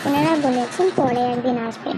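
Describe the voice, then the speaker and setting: A dutiful young wife in a family cartoon, voiced by a woman. She speaks very high, with earnest, emphatic politeness, over loud background noise. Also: fairly quick, natural voice. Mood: polite. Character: young dutiful daughter-in-law, earnest and easily upset. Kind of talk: dramatic cartoon dubbing. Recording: very noisy background.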